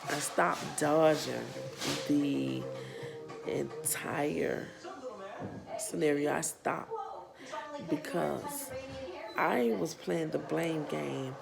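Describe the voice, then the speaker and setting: A woman in her thirties with a Southern US accent, speaking earnestly and haltingly as a parent who runs a nonprofit, her voice a bit worn. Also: deep voice, gravelly, music in the background. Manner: slow, earnest, halting with pauses and restarts. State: losing her voice. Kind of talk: personal vlog testimony.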